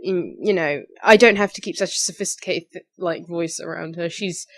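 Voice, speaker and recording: A woman's voice: lowish voice, normal speed. Young woman chatting casually, slightly tired. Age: young adult, about 18. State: slightly tired, recently woken. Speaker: amateur voice actor. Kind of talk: casual question-and-answer vlog.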